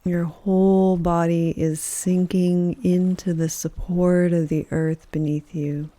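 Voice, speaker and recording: A woman guides a meditation in a dark, relaxed voice on the low side. She speaks very slowly and gently, leaving soft pauses between phrases. The sound is close and dry. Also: no echo. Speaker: yoga nidra teacher, meditation guide. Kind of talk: guided meditation, soothing scripted narration.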